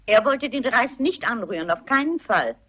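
An older actress playing a shrewd, polite, dignified elderly woman sleuth posing as a housekeeper in a German radio play, with a husky voice. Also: on the high side, animated, normal speed. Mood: matter-of-fact. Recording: dull, muffled radio play recording.

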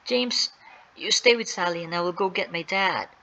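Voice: medium pitch, moderate pace, bright, forceful tone, low-quality recording. A storyteller voicing an eager, urgent young boy in a children's story. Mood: excited, urgent.

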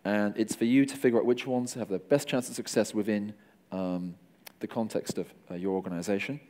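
A middle-aged man speaks from a conference stage into a microphone, his voice low and mellow. He explains things in a relaxed, conversational way and drops dry jokes for the audience. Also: fairly quick. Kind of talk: conference talk.

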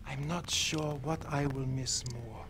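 A man's voice: medium pitch, fairly even intonation, slow pace, noisy background. An actor playing a middle-aged Nazi officer in a war game, German-accented, mock-courteous and menacingly polite as he savors his words. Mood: gloating, menacing. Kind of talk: cinematic war game acting.

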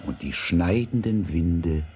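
A man's voice: low voice, animated, takes it slowly, a little mellow, faint music behind. A man narrating a scripted fairy tale as the storyteller of an audio drama.

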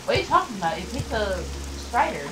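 A woman co-hosting a game let's play in casual chat, a little stuffed up, with excitable bursts. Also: fairly high pitch, quick pace, noisy background. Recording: game music underneath.

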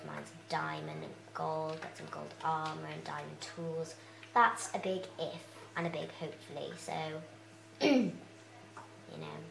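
A young girl rambles through her let's play with plenty of fillers, her voice croaky and gravelly. She talks low for her age. The room around her sounds echoey. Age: child. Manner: chatty, rambling, many fillers. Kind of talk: casual game commentary.

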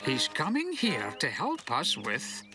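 Kindly old farmer in a kids cartoon, slow but wildly up and down, music under it. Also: male voice, fairly high pitch, wildly swooping pitch, far from the mic, exaggerated voice. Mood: puzzled. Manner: muttering, exclaiming, fussy. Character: kindly, bumbling, easily flustered older farmer man. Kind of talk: animated children's cartoon dub. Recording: music behind the voice.

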